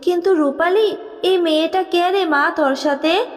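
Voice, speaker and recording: A loving fairy mother from a cartoon, protective and tender in her speech, with a woman acting her out. Her voice is high for a woman, soft and motherly. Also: a little slow, big-room sound. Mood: gentle.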